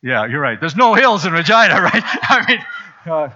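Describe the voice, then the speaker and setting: A man's voice: a little high, very animated, normal speed, bright, forceful tone, muffled recording, a little hiss or hum. A man from Zambia preaching a sermon in a church, chatty and storytelling, with frequent asides. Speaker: pastor. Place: church sanctuary.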